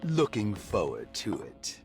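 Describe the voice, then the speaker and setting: An actor playing a suave, evasive doctor in a video game, speaking with measured, condescending politeness. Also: male voice, lowish voice, wildly swooping pitch, music in the background, heavily acted. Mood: evasive, courteous. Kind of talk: video game cutscene acting.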